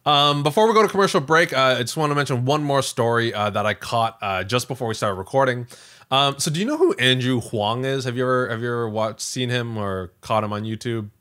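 A man hosting a podcast, casually recounting a story in a rambling, animated way. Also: medium pitch, fairly quick, clear, resonant tone. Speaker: podcast host.

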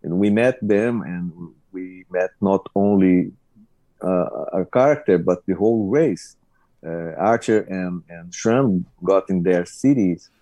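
A man co-hosting a casual podcast chat, speaking haltingly and often repeating his words. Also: deep voice, dark tone, no echo. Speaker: podcast co-host.

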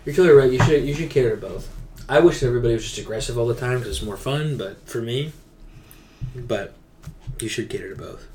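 A man's voice: mid-range voice, fast talker, dark tone, slight background noise. A man chatting casually as a gaming podcast co-host, rambling and venting emphatically in slangy language.

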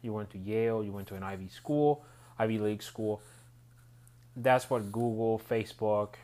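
Man, rambling, low-pitched. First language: Spanish. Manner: rambling, restarts phrases often. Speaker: podcast host. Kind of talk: solo reflective monologue.

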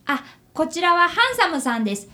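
A woman's voice: very high voice, expressive, fairly quick, bright, forceful tone, full character voice. A woman voicing a polite, earnest fifth-grade boy detective apprentice in a radio drama. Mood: attentive, polite. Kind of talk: radio drama acting with first-person narration.

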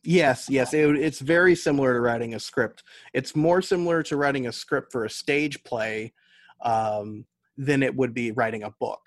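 An American man is being interviewed and explains his work in a conversational way. His voice sits at a middling pitch with very little room sound. He thinks his points through aloud as he goes. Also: normal speed, no room sound. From United States. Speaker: podcast guest, novelist and audio drama writer.

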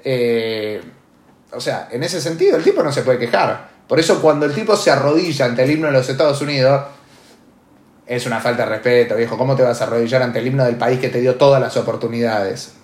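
A man's voice: mid-range voice, fairly quick, darkish tone. Argentine guy spouting opinions on a podcast, emphatic, repeats himself, roomy echo. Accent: Argentine Spanish. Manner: emphatic, repeats phrases for stress. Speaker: podcast host. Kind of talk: opinionated casual talk. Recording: echoey room.

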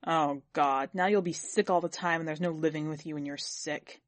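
Woman voicing a sour, belittling husband, lowered voice. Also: steady tone, normal speed, dry recording, close to an everyday voice. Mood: dark, sour. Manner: gloomy, brutal, complaining. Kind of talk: dramatic audiobook character reading.

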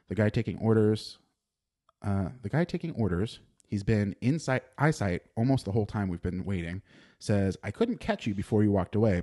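A young man with a lowish voice reads his own written food review aloud on a podcast. He is dry and deadpan, with plenty of "uh" restarts as he goes. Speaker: podcast co-host.

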